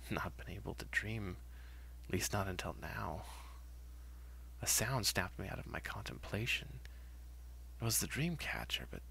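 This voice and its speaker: A man narrating a first-person horror story in a dramatic, hushed and halting way. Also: on the low side, vocal fry, slight background noise.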